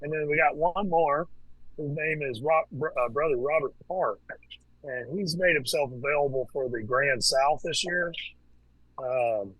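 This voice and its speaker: A man talking as a guest in a casual conversation, relaxed and hesitant, with many fillers and restarts. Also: a little high, measured pace, no echo, poor audio. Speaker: podcast guest, Freemason.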